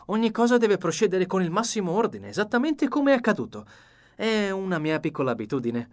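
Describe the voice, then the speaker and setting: Man putting on a fussy, excitable little detective. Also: sits high, brisk, pitched above the performer's own voice. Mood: fussy, excited. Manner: theatrical, courteous, precise. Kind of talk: audiobook character reading.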